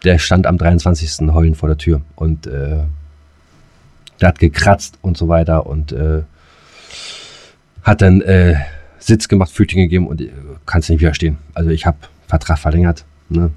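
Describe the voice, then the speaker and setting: A man's voice: extremely low pitch, steady tone, warm tone, dry recording. A man co-hosting a casual podcast, speaking colloquially and often correcting himself as he goes. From northern Germany. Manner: halting, self-correcting, colloquial. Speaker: podcast co-host. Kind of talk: casual unscripted podcast banter.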